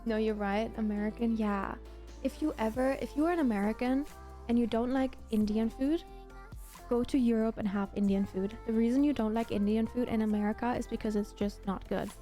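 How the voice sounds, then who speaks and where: A woman's voice: fairly high pitch, fairly quick, mellow, soft background music. A woman from Germany, a native German speaker, livestreaming in a casual chat with viewers, gushing and emphatic and often repeating herself. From Germany, grew up in Berlin.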